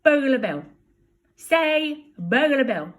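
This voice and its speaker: A woman's voice: sits high, big pitch swings, slow pace, pitched above the performer's own voice, full character voice. A woman doing Burglar Bill, a bluff burglar. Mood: storybook. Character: gruff kindly male burglar, grown man.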